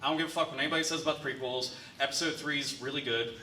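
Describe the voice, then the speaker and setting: A man's voice: mid-range voice, fairly even intonation, rapid-fire, pushed and bright. A man chatting casually on a convention panel, blunt and swearing, laughing often. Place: convention panel room before an audience. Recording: distant mic with crowd noise.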